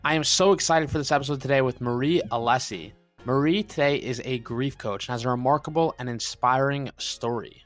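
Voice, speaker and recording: A man's voice: medium pitch, fairly quick, faint music behind. A man hosting a podcast, upbeat and enthusiastic as he introduces his guest. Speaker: podcast host.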